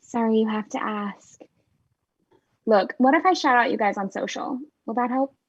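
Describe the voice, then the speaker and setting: A woman's voice: on the high side, normal speed, close to an everyday voice. A woman playing a dismissive, self-important customer in a comedy table read, curt and grudging. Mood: snide, grudging. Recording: muffled, slightly noisy audio.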